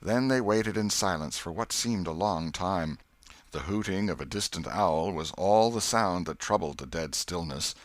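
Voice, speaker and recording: A man reading a classic story as an audiobook narrator, with measured, expressive delivery. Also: low voice, no room sound.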